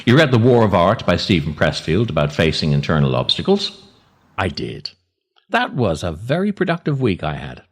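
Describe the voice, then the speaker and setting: A man's voice: low voice, huge pitch swings, normal speed, slightly rough. A man delivering a theatrical comic scripted monologue as a podcast host, wry and dramatic. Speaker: podcast host and writer, actor.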